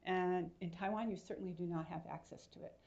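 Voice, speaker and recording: A woman with a low, gravelly voice fields questions at a seminar. She explains at length and checks in with her listeners with little tag questions. The sound is dull and lo-fi. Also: fairly even intonation, fast, a little mellow. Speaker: invited researcher answering audience questions. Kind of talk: seminar Q&A answer.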